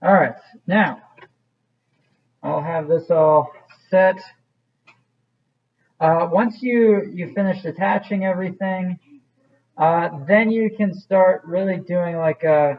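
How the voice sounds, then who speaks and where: A man's voice: sits high, takes it slowly, long pauses, dull audio. A man teaching an art class, walking students through a clay project in a casual, rambling way with many fillers. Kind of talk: classroom demonstration.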